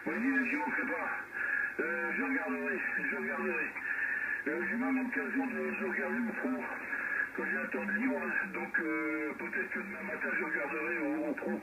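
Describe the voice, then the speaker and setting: Guy on cb radio chatting, super staticky and muffled, pitch jumps all over. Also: very animated, normal speed. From France. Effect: radio transmission. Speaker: amateur CB radio operator. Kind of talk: casual radio contact chat. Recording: very noisy, muffled CB radio reception.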